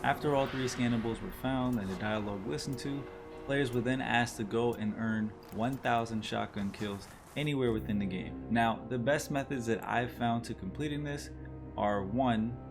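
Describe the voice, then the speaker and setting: A man's voice: mid-range voice, moderate pace, over music. A man narrating a gaming guide in a plain, instructional way, explaining things step by step. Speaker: gaming video creator and narrator. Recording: noticeable background noise and ambience.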